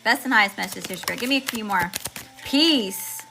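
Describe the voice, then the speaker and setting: A woman reading tarot cards for her viewers in a chatty, slangy way, calling them y'all and listing card meanings one word at a time, with her voice going hoarse. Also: medium pitch, expressive, a little fast, over loud music. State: losing her voice. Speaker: tarot reader channeling messages. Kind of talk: casual spiritual reading to viewers.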